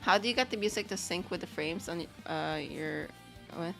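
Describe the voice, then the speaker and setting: A woman with a slightly low voice talks casually to her livestream chat. She rambles in loose, chatty phrases as she works on animation, with faint music playing behind her. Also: normal speed, vocal fry, sharp tone. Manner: rambling, chatty, reads chat questions aloud.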